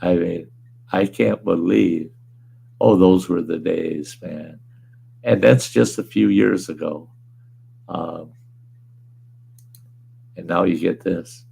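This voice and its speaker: A man hosting a casual livestream from home, hoarse with a weakened voice, rambling in a laid-back way and chuckling often. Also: sits low, slow pace, long pauses, mellow. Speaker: livestream host. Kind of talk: casual livestream chat reading viewer comments.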